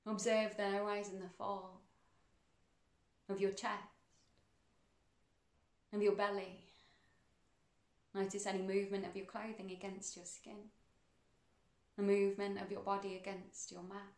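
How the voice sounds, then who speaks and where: A woman leads a guided meditation in a soothing, unhurried way. Her mid-range voice has a noticeable fry to it. She speaks in measured phrases with pauses, and the room adds clear echo around her words. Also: mid-range voice, little pitch movement, a little mellow.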